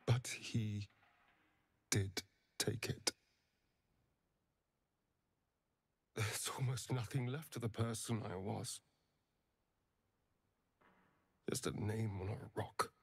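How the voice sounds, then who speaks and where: A man's voice: on the low side, steady tone, slow pace, creaky, long pauses, slightly rough, exaggerated voice. An actor playing a charming, wounded male vampire spawn in a video game, with a theatrical, drawling, wry delivery. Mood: reflective, sombre.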